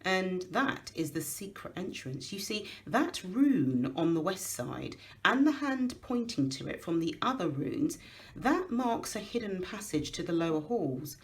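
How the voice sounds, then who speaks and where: A woman's voice: on the low side, sing-song, measured pace, pitched above the performer's own voice. A woman voicing a commanding old male wizard, wry and knowing, with emphatic, declamatory delivery in a storybook reading. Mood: explaining.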